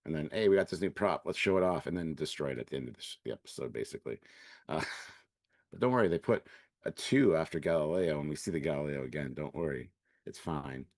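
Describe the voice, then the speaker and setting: Very deep raspy man with a cold. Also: animated, a little mellow, dry recording, clean studio sound. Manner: laid-back, hedging, halting. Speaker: co-host. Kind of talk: live-stream review chat.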